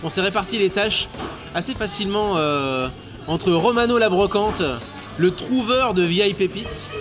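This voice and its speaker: Man, thirties, chatty interview guest, slightly high voice, stammering fillers, clear bar music behind. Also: normal speed. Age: 30s. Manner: chatty, hesitant with many fillers and stammered repeats. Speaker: guest, radio show co-host. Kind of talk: casual interview chat.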